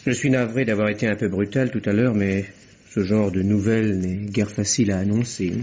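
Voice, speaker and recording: A man's voice: lowish voice, steady tone, brisk, darkish tone, breathy edge. A man playing a seasoned, wry police inspector in a French radio mystery play, brusque and ironic as he questions people. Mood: courteous, probing. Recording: dull lo-fi radio recording.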